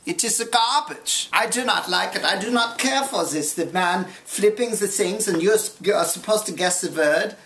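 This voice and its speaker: A woman's voice: medium pitch, moderate pace, hardly any pauses, a bit bright, a little hiss or hum, lightly acted. A woman playing a pompous German man who complains in clumsy English with a put-on German accent. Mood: indignant. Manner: indignant, haughty complaining in broken English. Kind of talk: improvised comedy sketch.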